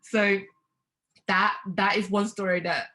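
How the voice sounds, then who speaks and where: A woman's voice: medium pitch, fairly even intonation, slow pace, bright, forceful tone. A young woman talking casually as an interview guest, reflective, with hesitant restarts and light laughs.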